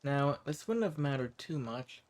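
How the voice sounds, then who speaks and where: A man playing a centuries-old hermit vampire, eccentric and sweet-talking with quiet menace, in a scripted roleplay audio drama spoken to the listener. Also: medium pitch, animated, measured pace, close to an everyday voice. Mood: wistful storytelling.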